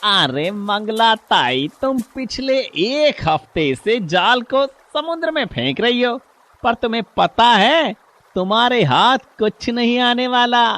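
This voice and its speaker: A man's voice: high voice, fairly bright tone, heavily acted. A man playing a sly, greedy younger fisherman in a moral story, with a taunting, exaggerated delivery. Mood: mocking. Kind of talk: moral storybook cartoon voice acting.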